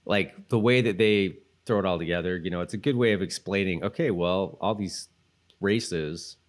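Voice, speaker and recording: A man with a low-pitched voice chats as co-host of a rewatch podcast. He is informal and rambling, working out his thoughts in loose fragments as he speaks. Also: moderate pace. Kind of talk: casual chat, commentary on a TV show.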